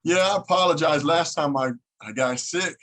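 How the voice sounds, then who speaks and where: A man's voice: on the high side, moderate pace, fairly bright tone. A man in his thirties, a podcast guest talking laid-back and casually over a video call, calling people man. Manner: laid-back, says man a lot, occasional swearing. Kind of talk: casual conversation.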